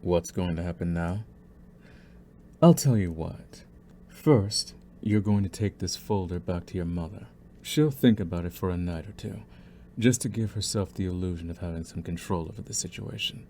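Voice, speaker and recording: A man's voice: deep voice, moderate pace, mellow, slight background noise. A man playing a young, possessive mafia boss in a scripted ASMR audio roleplay, taunting and condescending in a theatrical, menacing way. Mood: smug menace. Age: young adult.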